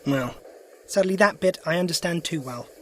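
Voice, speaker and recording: An actor playing a sharp, principled alien adventurer in an audio drama, brisk and inquisitive. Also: male voice, on the high side, a little hiss or hum, natural voice. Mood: thoughtful, brisk. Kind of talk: full-cast audio drama acting.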